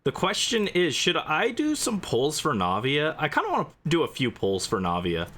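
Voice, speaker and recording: Lively, bright-voiced man livestreaming games. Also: fairly high pitch, normal speed. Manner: playful, chatty, responding to viewers. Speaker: livestream host. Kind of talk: casual gaming livestream chat with viewers.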